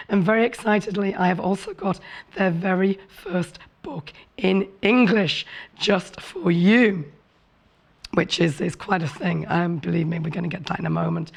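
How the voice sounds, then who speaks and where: A woman presenting a televised sewing demonstration with a hoarse, rough voice from a cold. She explains in a chatty, friendly way and apologizes for her voice. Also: mid-range voice. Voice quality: rough, raspy voice. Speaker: craft show presenter. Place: TV studio set.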